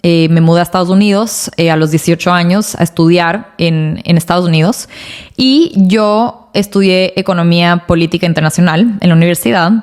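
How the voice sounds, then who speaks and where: A woman's voice: fairly low pitch, normal speed, a little mellow. A woman in her thirties from Ecuador, hosting a podcast and telling a personal story in a chatty way, with frequent fillers and emphatic asides. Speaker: podcast host and financial coach.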